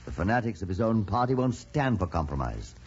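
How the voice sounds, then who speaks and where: A man's voice: lowish voice, big pitch swings, a little fast, a little mellow, slightly rough, exaggerated voice. An actor playing a grave, conciliatory older statesman and father in old-time radio drama, solemn and oratorical. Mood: grave concern. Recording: dull old radio recording.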